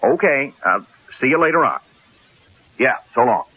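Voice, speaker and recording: An actor playing a flippant, wisecracking newspaper columnist with a husky voice and casual manner in a radio drama. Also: male voice, medium pitch, big pitch swings, moderate pace, background noise, lightly acted. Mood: evasive, breezy. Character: breezy, wisecracking, evasive male newspaper columnist. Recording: dull old radio broadcast recording.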